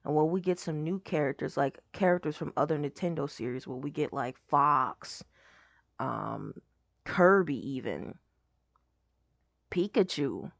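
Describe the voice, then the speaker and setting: A young woman with a hoarse voice, rambling casually as she reacts to a game showcase video. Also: deep voice, animated, a little slow, no room sound. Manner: rambling, lots of "like" and trailing off.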